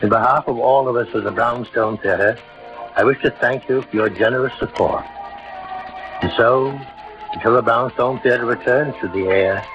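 A man hosts a radio theatre in a formal, courtly 1940s manner. His delivery is measured and mid-pitched, on a muffled vintage recording with faint music behind.

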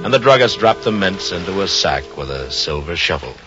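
A man narrating an old-time radio suspense story in a grave, measured, literary way. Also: low-pitched, animated. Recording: dull vintage radio recording with music.

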